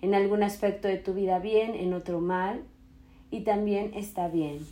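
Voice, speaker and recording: A woman's voice: medium pitch, fairly even intonation. Woman guiding meditation in an echoey space. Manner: soothing, instructional, unhurried phrasing. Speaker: meditation guide. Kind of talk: guided meditation. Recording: roomy echo with loud singing bowls. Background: singing bowls, meditation music.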